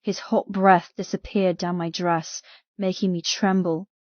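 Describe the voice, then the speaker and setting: A woman's voice: fairly low pitch, level tone, measured pace, no echo, dull audio. A woman narrating a dramatic first-person novel as a frightened captive. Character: frightened captive young woman telling her story. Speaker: audiobook narrator.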